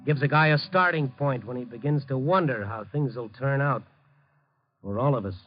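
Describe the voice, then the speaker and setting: An actor playing an earnest, hard-boiled newspaper reporter, urgent and moralizing, in a 1950s radio drama. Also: male voice, medium pitch, normal speed, soft background music, lightly acted. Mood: reflective. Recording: dull vintage radio broadcast recording.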